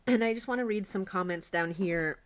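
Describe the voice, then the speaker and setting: A woman's voice: medium pitch, a little slow. A woman hosting an informal livestream chat, agreeable and conversational as she reads out comments. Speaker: podcast host.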